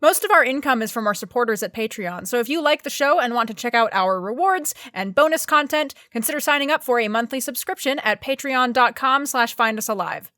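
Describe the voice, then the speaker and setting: A woman's voice: on the high side, lively intonation, brisk, few pauses, bright, forceful tone, dry recording, clean studio sound. A woman reading a scripted podcast announcement as the show's director. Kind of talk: scripted announcement, thanking supporters.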